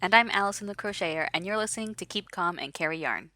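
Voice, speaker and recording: A young adult woman co-hosting a podcast with her mother, talking in quick, animated, laughing banter. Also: on the low side, over music. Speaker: podcast co-host. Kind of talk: casual chat.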